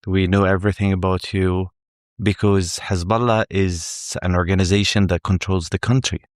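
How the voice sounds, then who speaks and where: Low-voiced Lebanese man, dry close sound. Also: steady tone, dry recording. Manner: measured, repeats words while finding them. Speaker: journalist guest. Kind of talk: interview answers.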